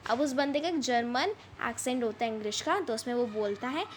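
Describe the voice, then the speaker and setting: A woman with a high-pitched voice teaches an English chapter. She reads the English text slowly and then explains it at length in Hindi, repeating herself. Faint noise sits behind her voice.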